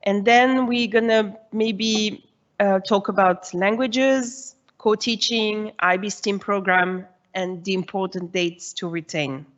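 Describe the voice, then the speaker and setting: A woman in her forties from Switzerland, the head of early years at a school, giving a presentation to parents. She explains things in a reassuring way and often pauses on "uh" and "um". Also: medium pitch, steady tone, highs cut off.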